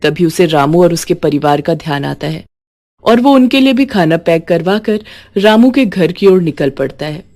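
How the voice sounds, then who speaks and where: A woman's voice: lowish voice, expressive, normal speed, darkish tone. A woman reading a scripted moral story as a storyteller.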